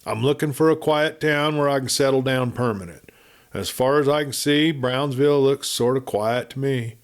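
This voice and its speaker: A man voicing a hungry cowboy drifter in a western story, folksy, ingratiating and slyly flattering. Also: medium pitch, moderate pace, voice pushed up, natural voice. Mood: smooth, ingratiating.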